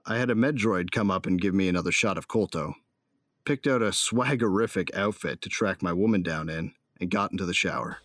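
An actor playing a cocky, hot-tempered bounty hunter who narrates a space opera audio drama in a wry, colloquial way. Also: male voice, deep voice, a little fast, a bit bright, dry recording, studio quality. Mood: reflective, cocky. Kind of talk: first-person scripted audio drama narration.

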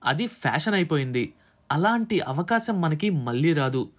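A male managing director in an audiobook story, read by a man. He is calculating and ingratiating, talking in a fairly high, lively and persuasive voice. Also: normal speed. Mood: satisfied, explaining.

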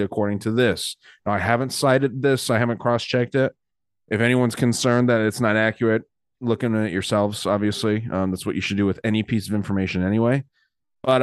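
A man's voice: mid-range voice, dry recording, studio quality. Easygoing male podcast host, hesitant. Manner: hesitant, many fillers, easygoing. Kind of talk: casual chat.

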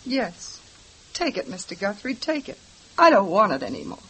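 A woman's voice: mid-range voice, sing-song, normal speed, poor audio. An actress playing a frightened, bruised young woman who answers a police officer in a tired, defensive way, in a 1950s radio drama. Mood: shaken.

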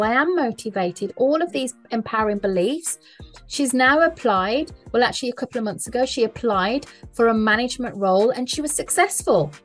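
Woman coach, higher register, earnest and emphatic, repeats for stress, light music bed. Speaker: mindset coach and hypnotherapist. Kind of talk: conversational podcast talk.

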